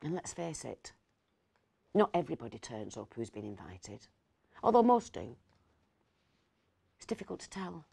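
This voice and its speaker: A woman's voice: low voice, very animated, moderate pace, gravelly, pauses often, mellow, husky, exaggerated voice. A middle-aged woman, playing a fussy, kindly, rambling Christmas dinner host in a storytelling monologue. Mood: musing, fretting. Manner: hesitant, digressive, self-correcting. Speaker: actor reading a story. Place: indoors, seated in an armchair.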